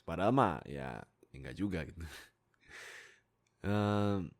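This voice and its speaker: A man's voice: low-pitched, expressive, slow pace. A young man, chatting casually and self-deprecatingly to his followers about his life as a voice actor, on a close microphone. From Indonesia. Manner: informal, self-deprecating, giggly. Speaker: amateur voice actor and content creator. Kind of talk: casual storytelling chat to followers. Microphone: close USB microphone.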